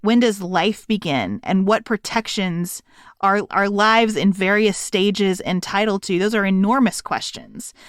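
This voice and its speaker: A woman's voice: mid-range voice, measured pace, dry recording, studio quality. A woman co-hosting a podcast, discussing a book casually in a thoughtful, articulate way. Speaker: podcast co-host.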